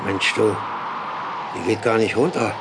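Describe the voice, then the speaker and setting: An actor playing an uneasy deputy engineer on an oil rig in a German audio drama, talking colloquially and hesitantly. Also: male voice, lowish voice, a little slow, heavy background noise, close to an everyday voice.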